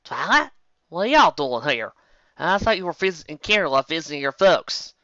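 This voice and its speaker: Reader doing a high, strained, grieving farm pony mare, storybook. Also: big pitch swings, moderate pace, no echo, highs cut off. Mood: surprised, shy. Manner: crying out in grief, rising to shouts.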